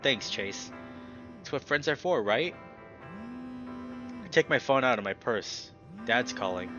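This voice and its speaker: A man reading a visual novel's story text aloud in expressive narration, occasionally stumbling over words. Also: lowish voice, muffled recording. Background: music.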